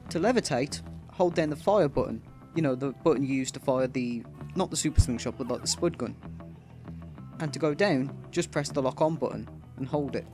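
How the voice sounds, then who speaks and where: A man hosting a gaming video with casual, explanatory commentary. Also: mid-range voice.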